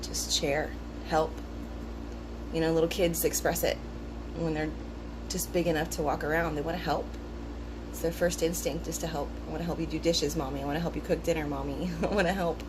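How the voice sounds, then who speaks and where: A woman in a casual, rambling talk, part reading aloud and part musing, relaxed and thoughtful with frequent ums and pauses. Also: low-pitched. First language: English. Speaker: vlogger discussing esoteric teachings. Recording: noticeable background hum.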